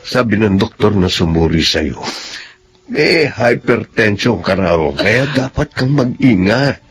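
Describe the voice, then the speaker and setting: An actor playing a stern but caring, devout Filipino uncle in a radio melodrama, speaking earnestly. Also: male voice, fairly low pitch, animated, husky, heavily acted. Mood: consoling, earnest. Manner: earnest, measured counsel.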